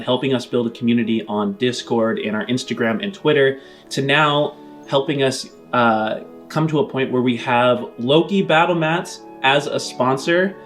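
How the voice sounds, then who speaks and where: A man hosting a game podcast as game master in a casual, conversational style. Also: mid-range voice.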